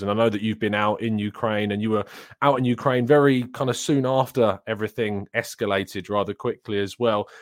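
A male host talks fast and chattily, upbeat as he sets up questions for his guest. His voice sits fairly low, and the sound is dry and close. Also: no echo. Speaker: show host. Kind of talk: casual interview chat.

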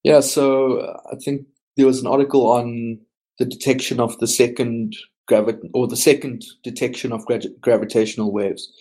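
Podcast guest, male team lead, dark mellow voice, explains at length with ums. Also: mid-range voice, level tone, moderate pace, slightly rough. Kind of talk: casual podcast interview.

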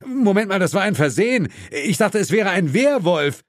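A man's voice: high voice, sing-song, moderate pace, dry recording, clean studio sound, pitched above the performer's own voice. A man voicing a cocky, indignant ghost hunter hero who protests wryly in a horror-comedy audiobook. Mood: defensive. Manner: flustered, protesting, wry.

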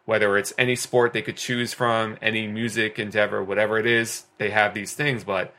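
A man with a low, bright, forceful voice speaks earnestly as a guest in a conversation. He talks in long runs full of fillers and restarts. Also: little pitch movement, normal speed. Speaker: guest teacher. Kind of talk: conversational discussion.

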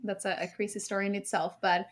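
A woman's voice: mid-range voice, level tone, fast talker, slight background noise. Confident woman from Sweden in conversation. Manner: confident, uses "like" and "sort of" often. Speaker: guest, startup founder and CEO. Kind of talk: conversational interview.

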